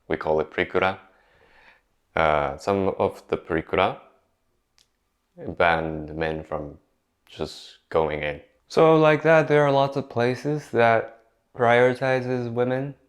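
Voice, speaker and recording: A young man with a Japanese accent, talking casually to his channel's viewers with plenty of fillers and restarts. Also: deep voice. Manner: conversational, many fillers and restarts. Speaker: YouTuber. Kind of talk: casual talk to camera.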